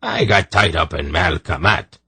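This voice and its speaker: A man's voice: low-pitched, unhurried, bright, forceful tone, husky, no room sound, heavily acted. A man voicing a coarse, crafty male trader who talks bluntly. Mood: gruff.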